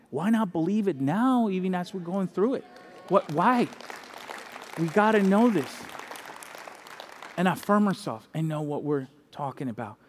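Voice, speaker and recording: A pastor from the Dominican Republic preaching a sermon in an animated, conversational way, repeating key phrases and adding asides. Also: male voice, sits high, big-room sound.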